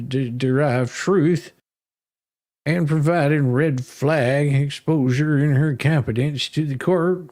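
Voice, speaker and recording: A man's voice: mid-range voice, a little mellow. A man hosting a livestream, reading a legal document aloud in a dramatic, sarcastic manner with mocking asides. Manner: sarcastic, stumbles over long legal words. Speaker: livestream host.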